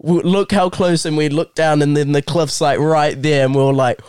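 A man's voice: on the high side, steady tone, normal speed, a little mellow, clean tone, no echo, studio quality. A young man co-hosting a casual podcast, telling stories in a laid-back way full of "like". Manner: laid-back, says "like" a lot. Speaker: co-host.

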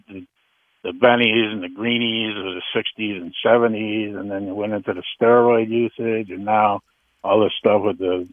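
New england guy calling into sports radio, tinny phone line, lots of you know and restarts, lowish voice. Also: dry recording. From Connecticut. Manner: halting, many fillers and restarts. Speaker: caller. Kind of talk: call-in radio chat. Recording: phone-line caller audio.

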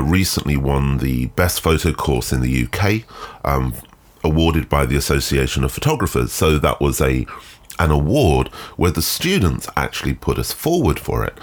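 Very deep-voiced man with a head cold. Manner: thoughtful, measured, with frequent ums and uhs. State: congested with a cold, nasal. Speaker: podcast host; photography lecturer and photographer. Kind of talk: solo podcast monologue. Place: garden shed.

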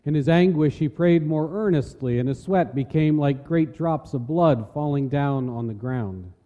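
A man's voice: a little high, unhurried, warm tone. A man narrating scripture from a script as part of a dramatic reading. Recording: echoey hall with long reverb.

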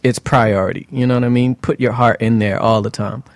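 A man's voice: mid-range voice, fairly even intonation, a little fast, dry recording. A man speaking as a guest singer in a conversational interview, giving advice emphatically with rhetorical questions and repeated phrases.

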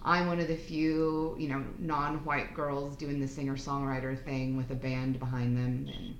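A woman with a deep voice, a singer-songwriter chatting frankly and casually on a podcast. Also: moderate pace, echoey room, lo-fi sound, a little hiss or hum. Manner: relaxed, frank, swears casually. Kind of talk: casual chat.

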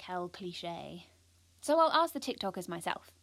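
A woman's voice: on the low side, sing-song, normal speed, vocal fry, fairly bright tone, dry recording, full character voice. A young British woman playing an earnest, ambitious, anxious schoolgirl who confides her story in an audio drama. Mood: reflective. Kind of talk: first-person audio drama narration.

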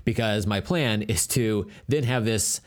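A man's voice: lowish voice, measured pace. A man co-hosting a podcast in casual chat, rambling and self-deprecating with jokes. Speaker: podcast co-host.

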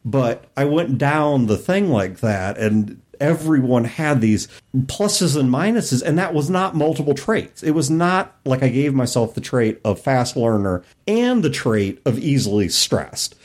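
A man's voice: mid-range voice, sing-song, unhurried, a little mellow. A man hosting a podcast, chatting casually about games. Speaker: podcast host. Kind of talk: casual discussion of role-playing games.

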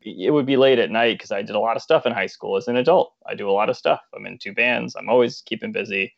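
A man with a lowish, gravelly voice talks haltingly, often stopping to hunt for words. The recording is dry and a bit dull, like a lo-fi mic close up. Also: no room sound. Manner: halting, searching for words, fillers. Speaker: podcast guest, musician. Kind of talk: casual interview chat.

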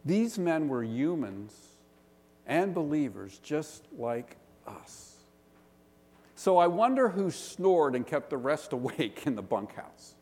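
A pastor from the Pittsburgh area with a Western Pennsylvania accent, preaching earnestly, asking rhetorical questions and stressing slow lists. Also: male voice, on the high side, sing-song, mellow. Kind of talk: sermon. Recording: long reverb of a large hall.

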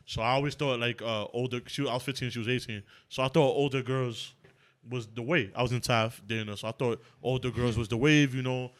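A man's voice: medium pitch, steady tone, fairly quick. A man in his late twenties chatting casually on a group podcast, slangy and insistent, often talking over others. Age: late 20s. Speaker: podcast panelist. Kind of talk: casual heated group chat.

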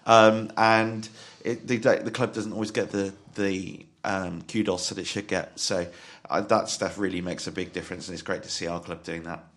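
A deep-voiced man talks football on a podcast panel. He speaks earnestly and hesitantly, filling his pauses with ums. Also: level tone, a bit bright. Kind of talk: casual football podcast chat.

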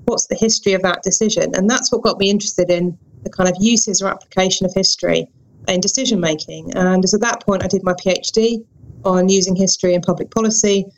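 A woman's voice: mid-range voice, fairly even intonation, fast, rough recording. Thoughtful woman historian. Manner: thoughtful, with many ums and restarts. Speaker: guest historian. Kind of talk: interview answers.

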